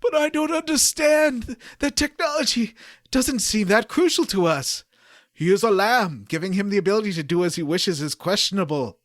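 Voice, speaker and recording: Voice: medium pitch, expressive, normal speed, dry recording, clean studio sound, close to an everyday voice. A podcast host voicing solemn, grandiose godlike elders from a game, theatrical and pompous. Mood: grave, questioning. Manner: theatrical, pompous, breaking into laughter.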